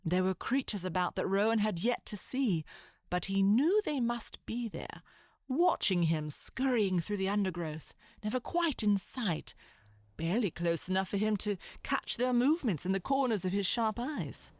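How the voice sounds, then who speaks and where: Expressive woman narrating a storybook tale. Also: mid-range voice, moderate pace, no echo, studio quality. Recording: music underneath.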